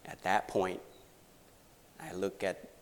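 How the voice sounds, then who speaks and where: Thai male preacher, unhurried, halting delivery with fillers, lowish voice, long room reverb. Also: slight background noise. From Thailand. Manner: halting, earnest, many pauses and fillers. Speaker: visiting missionary preacher. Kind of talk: testimony in a church service. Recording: reverberant hall.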